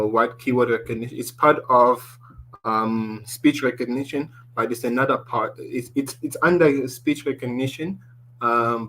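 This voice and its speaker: A man with a deep voice presenting a tech lesson, walking through slides and often restarting his sentences. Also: a bit bright, a little hiss or hum, low-quality recording. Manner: explaining slides, restarts often, ends points with "basically".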